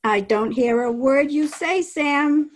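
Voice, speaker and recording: Actress as cagey juke joint boss: slow, slangy, defiant, higher-pitched, old radio crime style. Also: female voice. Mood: defensive, cagey. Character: tough, cagey underworld juke joint woman. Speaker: amateur radio play actor. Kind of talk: 1940s radio crime drama acting. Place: at home, recorded over video call.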